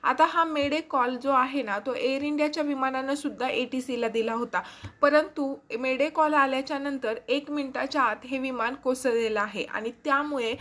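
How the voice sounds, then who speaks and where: Woman, high voice, YouTube presenter, explanatory news narration. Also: moderate pace, fairly bright tone.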